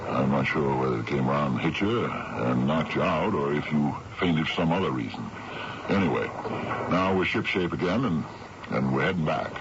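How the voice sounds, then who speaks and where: An actor playing a steady, practical man in charge of a small sailboat in a radio drama, commanding but reassuring. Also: a bass voice, normal speed. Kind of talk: 1970s radio drama acting. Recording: dull, very noisy old radio broadcast.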